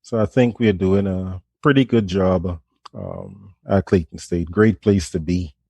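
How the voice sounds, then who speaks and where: A man from Trinidad and Tobago with a Trinidadian accent, a management professor speaking softly and in a friendly way as a radio guest. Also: low-pitched, a little slow, dry recording. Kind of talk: radio interview.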